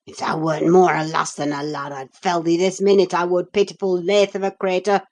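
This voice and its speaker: A woman voicing a gruff, uneducated young farmhand with a Yorkshire accent in an audiobook, growling his words. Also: lowish voice, flat delivery, normal speed, darkish tone, close to an everyday voice. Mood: angry. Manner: growling, rough dialect.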